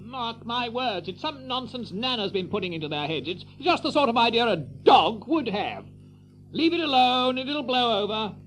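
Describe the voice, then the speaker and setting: A man's voice: very high-pitched, expressive, moderate pace, over loud music, voice pushed up. A man voicing a fussy, hesitant, faintly pompous father in a children's audio drama. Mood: dismissive.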